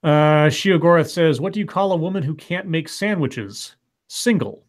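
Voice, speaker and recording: A man in his late thirties co-hosting a casual online chat show, reading viewer comments aloud with dry, deadpan reactions. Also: fairly high pitch, moderate pace. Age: late 30s.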